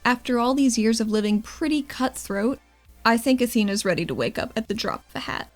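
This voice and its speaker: A woman talks casually at a tabletop game as one of the players. Her voice is on the higher side. There is light music underneath. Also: expressive, brisk.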